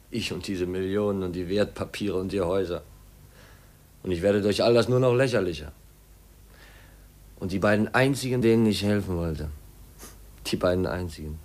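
A male actor as an overwhelmed young man in a 1950s German radio play. His voice is deep but subdued. He speaks hesitantly and politely, with a melancholy shyness. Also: normal speed, pauses often, darkish tone, lightly acted. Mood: despairing. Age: young adult.

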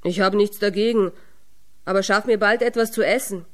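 Female reader as hearty miller man, storybook character, exclamatory, commanding, gruff temper. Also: mid-range voice, moderate pace, lightly acted.

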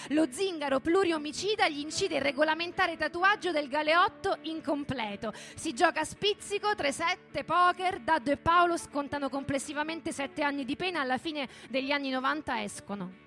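High-voiced woman narrating over music. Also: normal speed, few pauses, a bit bright. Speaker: performer reading a narrative text. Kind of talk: dramatic scripted narration for radio theatre. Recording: music playing behind the voice.

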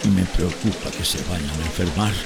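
Deep, gravelly worried man. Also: normal speed. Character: weary, worried male shelter helper. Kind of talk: radio drama dialogue. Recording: rain and crowd babble behind.

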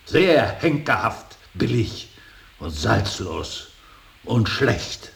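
An older male actor playing a grieving, stern and brooding old painter in a radio drama, speaking in a halting, weighty, solemn stage manner. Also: sing-song, fairly bright tone, exaggerated voice. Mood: bitter, anguished. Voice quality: very deep, gravelly. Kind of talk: slow, solemn German stage-style radio drama acting. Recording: hissy radio play recording.

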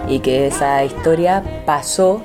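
A young woman, a theatre artist speaking as an interview guest in a relaxed, informal way. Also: deep voice, measured pace, over music. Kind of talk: casual interview talk.

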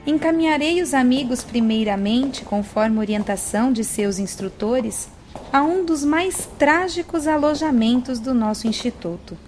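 An actress playing a calm, formal female spirit who directs a women's hospital in a radio play. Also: high voice, moderate pace, faint music behind. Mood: calm welcoming.

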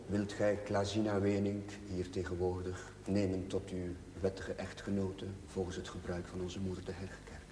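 A man's voice: low voice, monotone, normal speed, hardly any pauses, warm tone, slight background noise, close to an everyday voice. Solemn priest played by a man, cavernous echo. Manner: solemn, formal, ceremonial. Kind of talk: solemn wedding liturgy, partly chanted in Latin. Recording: echoey church reverb, distant mic.

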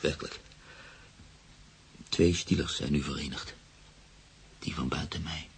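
An actor playing a brooding bachelor narrator in an intimate radio drama, halting and confiding, often dropping to a murmur. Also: male voice, extremely low pitch, steady tone, vocal fry, husky, exaggerated voice. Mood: reflective, troubled. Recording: noisy radio play recording.

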